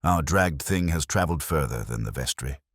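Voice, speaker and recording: A man plays a thoughtful, quietly shrewd male police inspector from a mystery story. The voice is very deep and a little husky, with an understated, deliberate and wry delivery. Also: animated, dry recording, clean studio sound, exaggerated voice. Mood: dry, musing. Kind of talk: mystery audiobook character reading.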